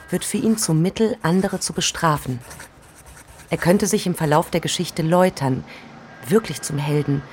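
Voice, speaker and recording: Eine Schauspielerin spielt im Hörspiel eine Romanautorin in den Dreißigern, die ihre Notizen laut denkend vorträgt. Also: female voice, sits low, vocal fry, darkish tone, a little hiss or hum. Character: young female novelist of thirty-three, keen, sketching her ideas. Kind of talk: radio play diary monologue.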